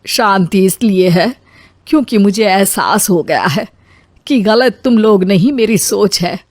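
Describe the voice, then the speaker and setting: A woman's voice: medium pitch, a little slow, a little mellow, natural voice. A woman playing a quarrelsome middle-aged Indian mother-in-law in a dramatized moral story, with a theatrical, complaining delivery. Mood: softened.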